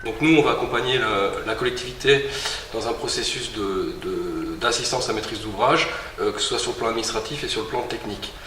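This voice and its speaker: A man's voice: on the low side, a little fast, sharp tone. A man speaking as a technical advisor, explaining in administrative wording with some hesitation. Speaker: technical advisor from a public agency. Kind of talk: explanatory interview answer. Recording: echoey room, slightly distant mic, faint noise.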